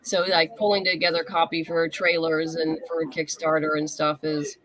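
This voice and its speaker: A woman getting over a lingering cough, chatting as an interview guest in halting, short phrases. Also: sits low, little pitch movement, very noisy. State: lingering cough after covid. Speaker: interview guest, comic writer and novelist. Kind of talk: casual interview chat.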